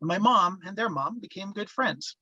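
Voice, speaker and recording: A man's voice: sits high, expressive, moderate pace, sharp tone, no room sound, lo-fi sound, full character voice. An actor reading a grown man's first-person reminiscence of a rebellious small-town youth in a script. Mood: reflective.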